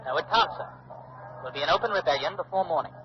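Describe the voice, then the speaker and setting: An actor playing an earnest, defiant young colonial doctor in a 1940s radio drama, giving emphatic, clipped answers. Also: male voice, on the high side, lively intonation, fast talker, close to an everyday voice. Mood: defiant, determined. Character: defiant twenty-year-old colonial doctor, earnest patriot rebel. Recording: dull, noisy old 1940s radio recording.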